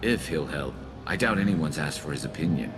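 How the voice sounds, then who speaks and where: Skeptical squadmate, super deep voice, dry, game scene, loud music. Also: male voice, animated, a little fast. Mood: dry doubt. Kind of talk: video game voice acting.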